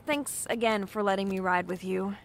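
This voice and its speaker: A woman's voice: medium pitch, steady tone, normal speed, gravelly, slight background noise, natural voice. A woman playing a friendly, slightly flustered young working woman in an audio drama, polite and a little hesitant.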